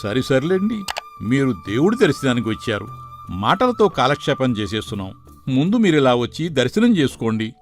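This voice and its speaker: An actor playing a polite, chatty village temple priest who gently probes people in a moral story. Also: male voice, mid-range voice, sing-song, normal speed, faint music behind. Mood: animated, respectful. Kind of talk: dramatised moral story dialogue.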